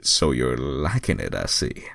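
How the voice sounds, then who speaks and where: A man's voice: rumbling low, big pitch swings, a little slow, gravelly, a bit bright, no room sound, clean studio sound, voice pushed down, full character voice. A man voicing a confident, suave male hedgehog hero in a fan fiction reading.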